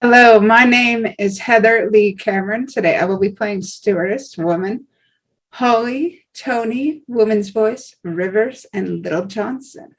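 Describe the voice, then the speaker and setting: A woman introducing herself and her roles at a table read, polite and clear, over a video call. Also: medium pitch, measured pace. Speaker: table read cast member.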